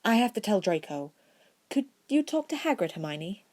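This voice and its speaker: A woman's voice: mid-range voice, very animated, moderate pace, darkish tone, voice pushed up. A woman voicing a wary, feisty young schoolboy in a storybook reading, guarded and blunt. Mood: reassuring.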